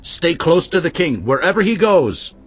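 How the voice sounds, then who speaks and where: A stern, commanding priest in an audio drama, handing out orders with firm authority. His voice sits fairly high for a man and comes through a little dull. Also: sing-song, normal speed, husky. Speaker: voice actor. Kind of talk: dramatized audio Bible acting.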